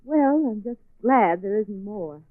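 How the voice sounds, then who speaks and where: A woman's voice: medium pitch, lively intonation, slow pace, dry recording. A plucky female sleuth's companion in a 1940s radio drama. Her delivery is light and dryly witty. The old recording is dry and narrow as a phone line. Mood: wry, relieved.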